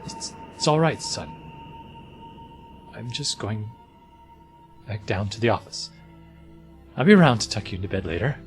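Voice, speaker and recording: A man's voice: on the low side, sing-song, normal speed, long pauses, soft background music. An actor playing a weary, frightened husband and father in an audio drama, pleading and defensive. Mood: resigned, desperate.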